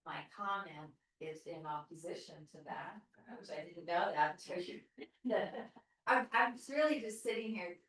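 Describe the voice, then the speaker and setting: A woman with a lower voice shares her thoughts slowly in a group discussion, pausing and restarting as she works things out. She is heard from across a very echoey room. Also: a bit bright, recorded from a distance. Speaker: group participant.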